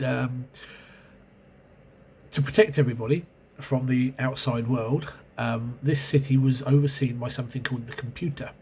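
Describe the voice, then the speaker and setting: A man's voice: mid-range voice, a little mellow. A man with a stuffy, sore-throated voice talks through his memories of an old tabletop game, hesitant and full of ums and self-corrections. His voice sits in a middle range for a man. A faint hiss of background noise hangs under the recording. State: congested with a cold and sore throat. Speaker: channel host reviewing role-playing games. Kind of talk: casual spoken video review. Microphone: camera mic.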